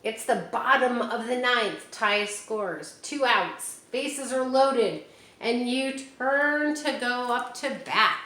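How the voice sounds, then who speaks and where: A woman's voice: fairly high pitch, slow pace. A woman reading a children's picture book aloud and chatting with young listeners between pages. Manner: animated, talks directly to young listeners. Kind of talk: read-aloud storytime with chatty asides to kids. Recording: roomy echo, faint ambient hiss.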